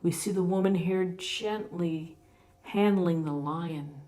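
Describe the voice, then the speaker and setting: A woman with a low voice hosts a tarot reading at a slow, unhurried pace. She explains the cards off the cuff, leaving deliberate pauses between phrases and offering gentle encouragement. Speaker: tarot reader, host.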